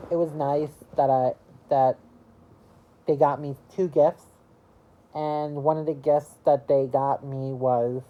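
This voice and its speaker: A woman in her early twenties chatting casually to camera about her year, rambling and halting, with lots of "you know" fillers. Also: very deep voice, highs cut off. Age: early 20s. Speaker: vlogger.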